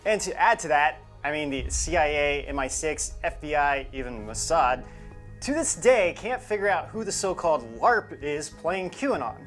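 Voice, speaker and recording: Animated female co-host in casual conversation. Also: deep voice, normal speed, soft background music. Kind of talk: semi-scripted conversational presenting.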